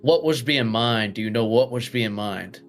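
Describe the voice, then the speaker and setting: A man's voice: mid-range voice, moderate pace, faint music behind. A man playing a tabletop role-playing game, talking casually as he asks questions and reasons things through.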